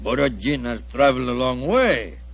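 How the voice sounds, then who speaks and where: An actor playing a proud, indignant Russian diplomat with a Russian accent and declamatory 1940s radio delivery. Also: male voice, mid-range voice, animated, normal speed, vocal fry, slight background noise. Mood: pompous. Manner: declamatory, emphatic. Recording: muffled 1940s radio recording.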